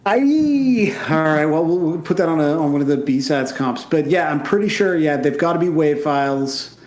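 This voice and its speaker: A man's voice: fairly high pitch, lively intonation, normal speed, darkish tone. A young man co-hosting a podcast, rambling with lots of "like" in casual, enthusiastic chat. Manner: rambling, filler-heavy, enthusiastic. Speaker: podcast co-host.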